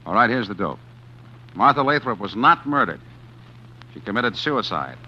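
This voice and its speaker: An actor playing a man who narrates his own crime in a 1940s radio drama, tense and halting. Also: fairly low pitch, very animated, bright, forceful tone, slight background noise, heavily acted. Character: male narrator who turns killer, jittery. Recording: dull old radio recording with music.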